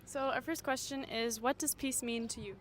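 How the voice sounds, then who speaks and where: A woman presenting a festival report and asking interview questions in a clear, measured way. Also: sits high, fairly even intonation, a little hiss or hum. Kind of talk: scripted intro and interview questions. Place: outdoor festival square.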